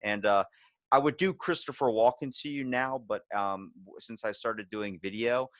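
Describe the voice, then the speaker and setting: A man's voice: lowish voice, moderate pace, fairly bright tone, no echo. A man hosting a podcast in casual, joking chat with some swearing. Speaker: podcast host.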